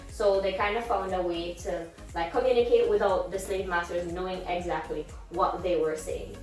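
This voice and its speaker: A woman's voice: fairly low pitch, moderate pace. Jamaican woman, young adult, casual vlog chat, echoey room, distant mic, faint music behind. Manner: animated, laughing, switches into Patois exclamations. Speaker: vlogger. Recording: roomy echo from a distance.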